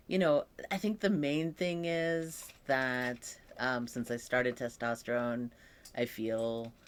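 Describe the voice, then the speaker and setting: Young guy talking slow in a vlog, lots of ums, voice gets a bit froggy sometimes. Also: medium pitch, a bit bright. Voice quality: occasionally croaky voice. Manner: slow, thoughtful, with many pauses and fillers. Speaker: vlogger. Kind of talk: casual personal update.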